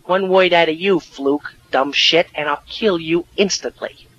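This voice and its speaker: Man as a snide, lively New York tough-guy crook. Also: very high-pitched, fairly quick, no room sound, lo-fi sound, heavily acted. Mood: sly. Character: snide, menacing male bank robber impersonating a student.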